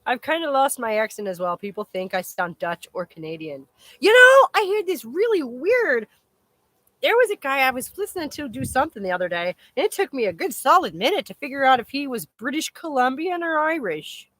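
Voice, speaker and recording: Animated, high-voiced woman chatting casually. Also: brisk, no room sound. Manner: animated, exclaiming, swears casually. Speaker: host of a live chat stream. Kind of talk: casual rambling chat.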